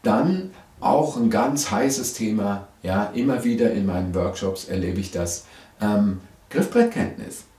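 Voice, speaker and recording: A middle-aged bass teacher with a low, cold-roughened hoarse voice talks straight to camera. He keeps a chatty, explaining tone, peppered with "ja?" and "ähm". The studio adds a noticeable roomy echo. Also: unhurried, warm tone. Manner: chatty, frequent "ja?" and "ähm" fillers. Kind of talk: video lesson, direct to camera.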